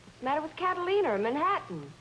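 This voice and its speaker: Caustic, high-voiced discontented wife, noisy recording. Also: female voice, sing-song, rapid-fire, fairly bright tone, lo-fi sound. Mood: scornful. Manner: caustic, wisecracking, impatient. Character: bored, sarcastic, discontented wife of a judge. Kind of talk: dramatic acting in an old-style suspense drama.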